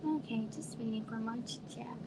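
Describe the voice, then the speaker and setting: A soft-spoken woman from Arizona, with a somewhat high voice, chats casually with viewers from home. She trails off and now and then sinks to a near whisper. Steady hiss and room noise sit under the livestream audio. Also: normal speed, creaky. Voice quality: quiet voice. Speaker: livestream host. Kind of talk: casual live chat with viewers.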